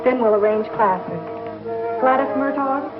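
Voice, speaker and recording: An actress playing a prim, gentle young schoolteacher in a 1950s television western, speaking politely and precisely. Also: female voice, sits high, unhurried. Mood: firm, composed. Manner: polite, proper, precise classroom diction. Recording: muffled old 1950s television soundtrack, some music.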